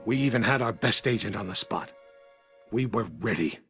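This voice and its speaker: An actor playing an embittered, scheming intelligence chief in a game cutscene, speaking in an emphatic, grandiose way. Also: male voice, on the low side, moderate pace, fairly bright tone, soft background music, lightly acted. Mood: sly, grim. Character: embittered, scheming older male intelligence chief giving an interview. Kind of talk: cinematic game cutscene acting.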